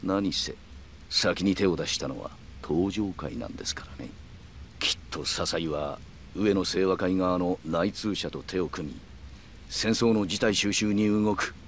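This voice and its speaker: An actor playing a calm, calculating middle-aged yakuza man who speaks in polite, measured, formal phrases in a video game. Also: deep voice, slight background noise. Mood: cool, persuasive. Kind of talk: Japanese video game cutscene acting.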